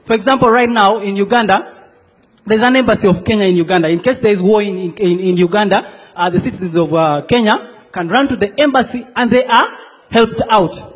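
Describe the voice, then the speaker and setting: A young man from South Sudan works a comedy stage, playfully acting out the people in his stories. His voice sits very high for a man, and it rings with the long echo of a big hall. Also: fairly quick. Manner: playful, acts out quoted characters. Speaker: stand-up comedian. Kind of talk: stand-up comedy set. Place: comedy stage in a large hall before a laughing audience.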